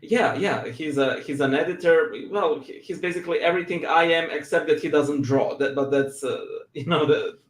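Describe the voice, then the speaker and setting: A man's voice: fairly high pitch, normal speed, hardly any pauses, reverberant. A young adult man from Serbia with a Serbian accent, whose first language is Serbian, speaking as a guest in a casual interview, laughing often and making self-deprecating jokes. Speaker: guest, church reader and YouTube creator.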